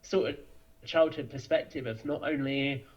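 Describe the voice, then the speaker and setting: A man's voice: medium pitch, fairly even intonation, moderate pace, dull audio. A man answering as a podcast guest, talking in a thoughtful, explanatory, conversational way.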